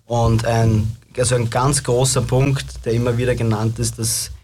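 Flat-voiced man reporting findings. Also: medium pitch, moderate pace, slight background noise.

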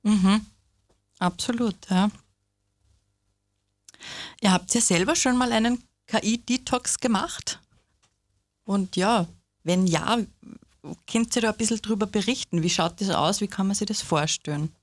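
A woman's voice: medium pitch, measured pace, long pauses, no room sound. Woman host asking short questions, dry sound. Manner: asks short follow-up questions. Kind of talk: casual interview questions.

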